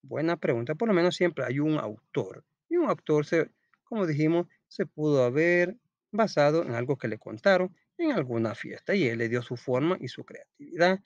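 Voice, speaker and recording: A man's voice: fairly high pitch, lively intonation, normal speed, no echo, highs cut off. A man with a Salvadoran accent teaching an informal school lesson, reading aloud and explaining in a chatty, colloquial way, often asking questions and answering them himself.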